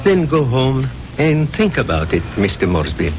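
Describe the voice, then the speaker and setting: Actor as a serene guru with swooping intonation. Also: male voice, medium pitch, very animated, noisy background, lightly acted. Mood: solemn, insistent. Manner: formal, measured, solemnly certain. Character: serene, enigmatic male Indian spiritual guru. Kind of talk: 1970s radio drama acting. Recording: dull vintage radio recording, loud music behind.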